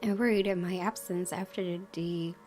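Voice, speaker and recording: A woman's voice: fairly low pitch, steady tone, moderate pace, darkish tone. A woman hosting a gaming video, switching between casual commentary and reading the game text aloud. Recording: game music behind the voice.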